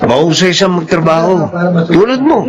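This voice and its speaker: A chatty, inquisitive embalmer with a Filipino accent. The delivery is very lively and slightly high in pitch, in the manner of horror radio drama, with a crowd murmur behind. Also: male voice, normal speed. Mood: curious, friendly. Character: chatty, curious male embalmer co-worker.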